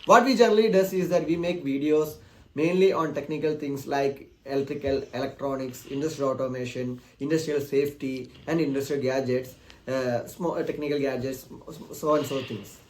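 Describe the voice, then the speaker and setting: A man's voice: mid-range voice, fairly even intonation, moderate pace, a little hiss or hum, rough recording. A man, a channel host talking directly to his viewers in an earnest, encouraging way with many "uh" fillers. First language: Malayalam. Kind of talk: casual direct-to-camera talk.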